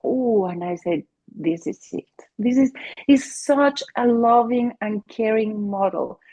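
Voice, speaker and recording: A woman's voice: on the high side, slow pace, dark tone, lo-fi sound. A middle-aged woman from Mexico, a Spanish native speaker with a Mexican accent, talking about her work as a family therapist in an interview, earnest and full of restarts. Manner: earnest, many restarts and fillers. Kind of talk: interview conversation.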